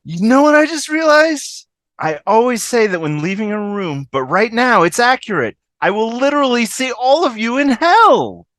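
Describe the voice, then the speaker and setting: A woman's voice: on the high side, lively intonation, moderate pace, fairly bright tone, dry recording, clean studio sound. A woman playing a brash, selfish, sarcastic woman in a scripted comedy read over a video call. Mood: wry. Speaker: fan table-read actor.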